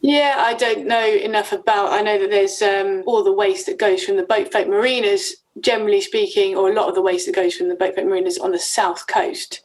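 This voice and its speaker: A woman speaks as the host of a conversational interview. Her voice is of average pitch, and she talks informally, feeling her way through her phrases a little hesitantly. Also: steady tone, a little fast, hardly any pauses, a little mellow, rough recording.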